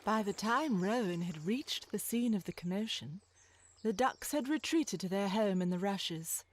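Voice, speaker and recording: A woman's voice: medium pitch, animated, measured pace, a little hiss or hum. A woman narrator reading a scripted animal story in storybook style.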